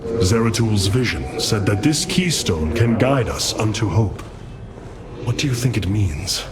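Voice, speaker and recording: A man's voice: lowish voice, lively intonation, unhurried. A man voicing a noble alien warrior leader, speaking in a grave, formal manner. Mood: resolute. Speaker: hierarch. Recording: game cutscene with loud music.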